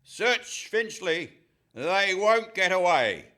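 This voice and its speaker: A man's voice: high voice, big pitch swings, pushed and bright, heavily acted. A man playing an officious police officer barking emphatic orders in a radio comedy. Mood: commanding. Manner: commanding, slow, emphatic orders. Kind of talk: Goon Show style radio comedy acting. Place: live performance on stage in a hall.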